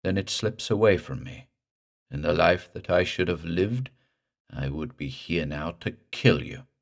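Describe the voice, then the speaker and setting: A man voicing a drowned dead man risen from a lake, speaking in a slow, guttural, gurgling drawl. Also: deep voice, expressive, vocal fry, full character voice. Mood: menacing.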